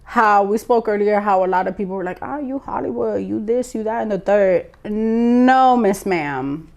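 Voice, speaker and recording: Young female guest from Lawrence, Massachusetts; animated, blunt, slangy storyteller. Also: medium pitch, normal speed, vocal fry. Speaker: guest, former radio co-host. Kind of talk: casual chat.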